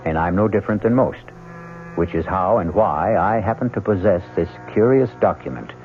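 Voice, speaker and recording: Mystery host: male, low pitch, measured and ominous, theatrical narration on a thin vintage broadcast. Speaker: radio drama host. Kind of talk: old-time radio scripted narration. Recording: tinny vintage radio broadcast, music, hum.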